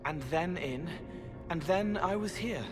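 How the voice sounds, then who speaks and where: Game spirit youth: earnest, cryptic, halting phrases, lighter pitch, over clear music. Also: male voice. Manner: halting, fragmented, poetic phrasing. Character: gentle, eerie young male spirit, earnest and cryptic. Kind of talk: dramatic video game acting. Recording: music behind the voice.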